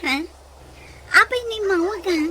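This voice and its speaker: An actress playing a friendly, eager young nurse looking for work in a radio drama. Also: female voice, extremely high pitch, lively intonation, normal speed. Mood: excited. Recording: noisy, with ambient sound.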